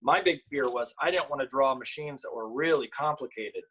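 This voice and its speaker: A man presents picture books at a virtual storytime. His voice sits at a middle pitch with a slightly husky edge. He speaks informally, as an author and illustrator sharing his own work. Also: a bit bright. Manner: informal, halting when chatting. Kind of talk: virtual storytime, reading aloud and chatting about the books.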